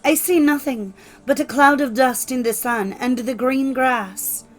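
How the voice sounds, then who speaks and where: Storybook sister, performed by a female narrator: young, anxious lookout, calling out in a raised, high voice. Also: a little slow, faint music behind, rough recording. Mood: dismayed. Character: anxious young sister keeping watch from a tower.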